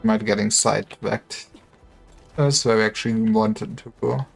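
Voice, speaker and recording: A man's voice: lowish voice, fairly even intonation, a little slow, creaky, husky. Guy streaming a game, casual wry commentary, kinda talking to himself, music playing behind. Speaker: game livestreamer.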